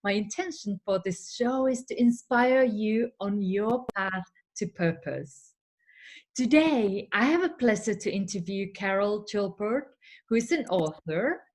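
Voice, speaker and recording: Woman host, unhurried, gentle, encouraging, mid pitch. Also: expressive. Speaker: interview show host. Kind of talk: scripted show intro and interview.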